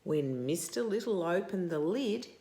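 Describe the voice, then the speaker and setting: A woman in her sixties reads a picture book aloud to young children in an expressive, deliberate way, stopping to put questions to them. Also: lowish voice. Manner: deliberate, animated, asking questions of young listeners. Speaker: storytime host reading a picture book to children. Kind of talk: expressive children's read-aloud with asides to the listener.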